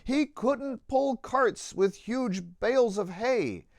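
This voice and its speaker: A man, a pastor, reading a rhyming children's story aloud in a dramatic, deliberate, sing-song manner. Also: very high voice, dry recording.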